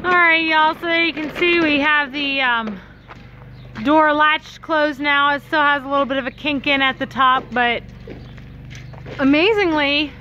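A woman's voice: high-pitched, unhurried. Chatty woman filming outdoors. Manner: relaxed, chatty, addresses viewers directly. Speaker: farm vlogger. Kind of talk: casual vlog narration. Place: outdoors on a farm by a barn. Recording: lo-fi outdoor phone audio with loud background noise.

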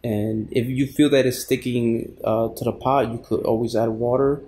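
A man's voice: medium pitch, steady tone, moderate pace, warm tone, slight background noise. A man giving an informal how-to cooking voice-over as a fitness vlogger, addressing viewers casually.

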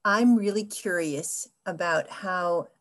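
A woman's voice: on the low side, slow. A middle-aged woman speaking casually as a panelist in an online discussion, on earbuds from a room at home. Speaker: workshop panelist. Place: indoor home room, video call. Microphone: wired earbuds.

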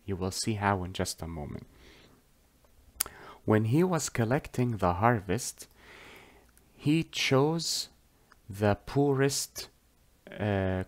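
Slow, deliberate man, native Arabic speaker. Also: lowish voice. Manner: deliberate, halting, with frequent pauses and self-corrections. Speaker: religious storyteller teaching on a whiteboard. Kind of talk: explanatory storytelling lesson.

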